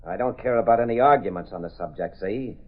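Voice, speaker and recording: An actor playing a threatening American racketeer with tough, slangy gangster talk in a 1930s radio drama. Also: male voice, fairly low pitch, quick pace, natural voice. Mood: menacing. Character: menacing male racketeer ex-convict selling circus protection insurance. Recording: muffled, narrow-band old radio recording.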